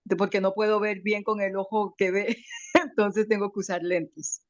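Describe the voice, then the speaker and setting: A woman with a slight foreign accent in her Spanish, giving an emotional, grateful personal testimony on a video call, halting with many restarts. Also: mid-range voice, steady tone, dry recording. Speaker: webinar participant. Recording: dry, muffled video call audio.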